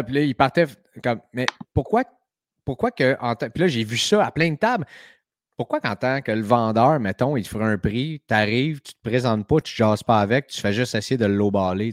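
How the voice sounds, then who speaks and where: A man's voice: medium pitch, no echo. Chatty Québécois man, dry close sound. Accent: Québécois French. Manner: animated storytelling, frequent "tu sais". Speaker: podcast host. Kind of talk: casual chat.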